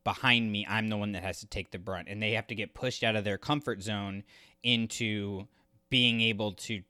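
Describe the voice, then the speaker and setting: A man's voice: on the low side, pushed and bright, clean tone, no room sound, studio quality. A man co-hosting a podcast, giving his opinions on a story in casual conversation. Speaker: podcast co-host. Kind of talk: casual opinionated review chat.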